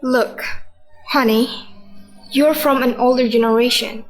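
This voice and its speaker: A woman's voice: on the high side, steady tone, soft background music, lightly acted, rough recording. A woman playing a sardonic wife who questions her husband in a radio drama. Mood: pointed. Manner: sarcastic, deliberate, questioning.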